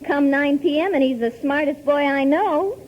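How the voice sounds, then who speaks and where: A woman's voice: sits high, normal speed, close to an everyday voice. An actress playing a perky, gushing, slangy young woman in a 1940s radio comedy. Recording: dull, hissy 1940s radio recording.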